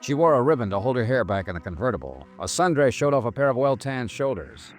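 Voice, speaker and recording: A man's voice: mid-range voice, very animated, fast, lightly acted. An American man acting a wry, world-weary insurance investigator in a 1950s radio drama, narrating and talking in a clipped, dry, hard-boiled way. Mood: wry, brooding. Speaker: star narrator of a detective radio serial. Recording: vintage radio broadcast with faint music.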